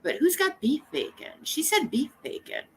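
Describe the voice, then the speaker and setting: A woman hosting a casual livestream, chatting to her viewers in an animated, joking way and swearing freely. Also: sits high, normal speed. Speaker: livestream host.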